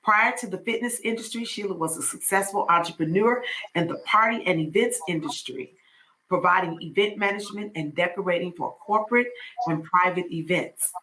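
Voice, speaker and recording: A woman's voice: fairly low pitch, brisk, pushed and bright, slight background noise, low-quality recording. A woman in her fifties hosting a podcast, reading a guest introduction and then chatting playfully and effusively. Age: 50s. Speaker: podcast host.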